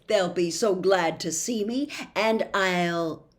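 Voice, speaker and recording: A woman's voice: on the low side, slow pace. A woman voicing an eager, easily amazed young boy in a storybook reading. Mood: excited, eager.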